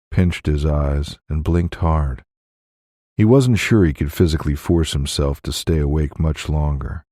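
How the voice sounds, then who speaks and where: A man reading scripted fiction as an audiobook narrator, in a measured, deliberate way on a close mic. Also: extremely low pitch, mellow, dry recording.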